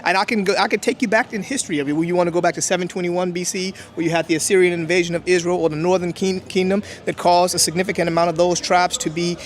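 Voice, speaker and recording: A man's voice: high voice, fairly even intonation, quick pace, hardly any pauses. A man whose first language is Hebrew, explaining history emphatically in an interview outdoors. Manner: emphatic, lecturing, with many "right?" checks. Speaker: interview guest.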